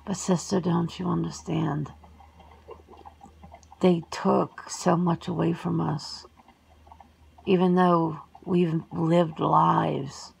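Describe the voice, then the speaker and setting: A woman plays a lonely, long-lived vampire sister in an ASMR roleplay. She speaks in a hushed, husky murmur, slow and measured. Her wording is formal and reserved, with a wistful temper. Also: sits low, exaggerated voice, rough recording.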